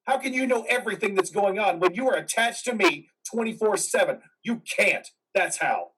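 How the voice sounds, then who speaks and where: Man playing a husky, troubled veteran, slightly distant. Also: high-pitched, expressive, normal speed, fairly bright tone, full character voice. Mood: defiant, angry. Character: troubled, defiant male war veteran in psychiatric care. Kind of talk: play reading acting.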